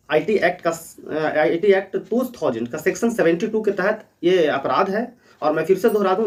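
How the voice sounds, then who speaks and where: A man with a high-pitched voice speaks as the host of an explainer. His manner is earnest and instructive, circling back to key points. He is recorded at some distance from the microphone. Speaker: host of a legal awareness channel. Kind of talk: explanatory talk to viewers.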